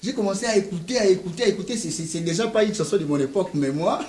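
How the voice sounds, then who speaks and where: A man's voice: high voice, expressive, very fast, a crowd in the background. A Congolese man with a Congolese French accent, a guest musician talking earnestly in an interview and often repeating words as he searches for them. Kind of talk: casual interview talk mixing French and Lingala.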